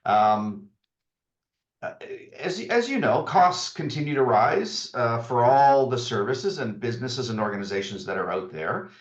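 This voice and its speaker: A man's voice: mid-range voice, expressive, a little slow. A man, the CEO, giving an informal welcome address with frequent fillers and restarts. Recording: roomy, dull lo-fi sound.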